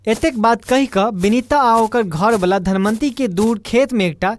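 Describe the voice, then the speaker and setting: A woman's voice: fairly high pitch, moderate pace. A woman with a Maithili accent narrating a scripted folk story as the storyteller.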